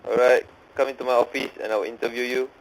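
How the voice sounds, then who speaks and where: A man's voice: mid-range voice, little pitch movement, quick pace, noisy background. Joking Singaporean guy on game chat. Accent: Singaporean English. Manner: joking, plays mock boss and job interviewer. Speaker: gamer in team voice chat.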